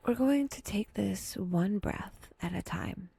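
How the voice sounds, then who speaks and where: A breathy woman speaks very slowly and gently, as a meditation guide would. Her voice is mellow and creaky at the edges, often sinking toward a whisper, with long pauses and a lightly wry touch. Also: mid-range voice, animated, no echo. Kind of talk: soft, intimate guided meditation.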